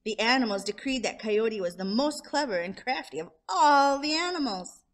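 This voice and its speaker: Expressive woman reading a children's storybook. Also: high voice, moderate pace. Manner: expressive, draws out descriptive words. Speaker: storyteller, show host.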